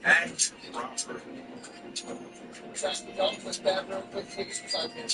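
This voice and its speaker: A man's voice: sits high, flat delivery, slow. A man on game voice chat, giving mock-polite greetings. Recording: distant mic with loud music behind.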